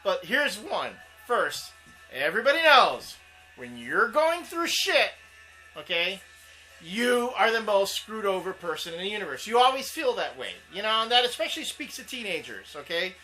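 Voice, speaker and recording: A man's voice: sits high, very animated, normal speed, pushed and bright, soft background music, low-quality recording. A man co-hosting a casual comic book talk show, rambling and restarting as he defends his opinion. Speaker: co-host.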